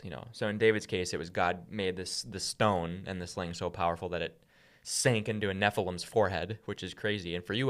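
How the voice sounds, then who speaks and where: Low-pitched man teaching conversationally. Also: a bit bright. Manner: often checks in with a tag "right?". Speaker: podcast host.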